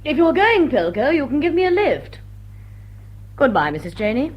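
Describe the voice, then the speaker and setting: A woman's voice: sits high, sing-song, muffled recording. An actress playing a blunt, haughty but kindly society woman in a vintage drawing-room drama. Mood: brisk, indulgent. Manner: brisk, haughty. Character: imperious, blunt society lady with soft heart.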